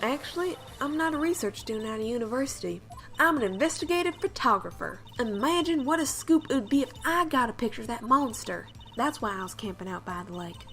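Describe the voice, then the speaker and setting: A woman's voice: high-pitched, a bit bright. A woman voicing a brash, defensive young photographer on the witness stand in a game fandub, with a folksy Southern US accent. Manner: folksy, drawling, feisty. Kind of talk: video game fandub character acting.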